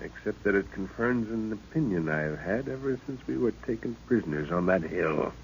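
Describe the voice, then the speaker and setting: A steady expedition professor in an old radio serial, low and a little husky. He weighs his words with thoughtful pauses. The recording sounds dull and lo-fi. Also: exaggerated voice. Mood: calm, resolute. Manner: measured, thoughtful, hesitant with "uh". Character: scholarly middle-aged male professor, calm leader. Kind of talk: 1930s radio drama acting.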